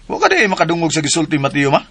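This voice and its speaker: An actor with a southern Philippine accent playing a brash, jealous grown son with an insistent, confrontational manner in a radio drama. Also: male voice, on the high side, huge pitch swings, very fast, fairly bright tone, slightly rough, heavily acted. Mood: needling.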